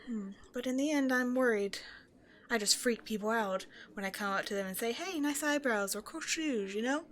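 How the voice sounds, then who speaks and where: A woman's voice: on the high side, normal speed, close to an everyday voice. A woman playing a shy, self-doubting young woman who rambles hesitantly in an intimate one-sided roleplay conversation with a stranger. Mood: awkward, musing. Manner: hesitant, apologetic, nervously overthinking, giggly.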